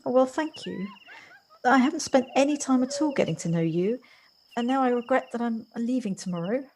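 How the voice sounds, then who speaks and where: A woman's voice: a little high, animated, a little fast, noisy background, close to an everyday voice. A woman acting in an audio play as a polite, hesitant visitor, kindly and earnest, with small stammers. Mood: earnest, tentative. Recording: amateur home recording on personal device.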